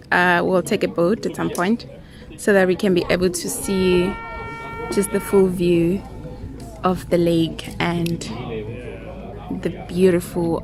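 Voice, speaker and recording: A woman chatting casually and enthusiastically to viewers as she narrates a travel vlog. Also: on the low side. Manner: enthusiastic, admiring. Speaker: travel vlogger. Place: outdoors in a village. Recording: music behind the voice. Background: music.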